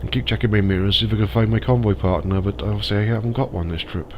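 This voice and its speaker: Low-voiced man, slightly stuffy. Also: fast, highs cut off, a little hiss or hum. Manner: chatty, thinking aloud while driving. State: slightly stuffy nose from a cold. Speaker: gaming YouTuber. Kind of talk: casual gameplay commentary.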